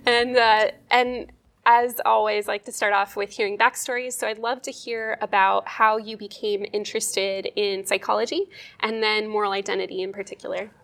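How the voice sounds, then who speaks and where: A woman hosting a podcast, introducing her guest and warmly asking him about his background. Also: mid-range voice, little pitch movement, normal speed, gravelly, no echo. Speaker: podcast host. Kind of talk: interview introduction and questions. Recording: music under the intro.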